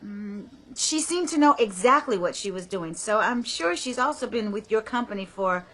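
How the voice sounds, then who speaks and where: An actress playing a bewildered but insistent department store customer in a radio drama, with an earnest, anxious, hesitant delivery. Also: female voice, mid-range voice, animated, normal speed, a bit bright. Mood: insistent, flustered.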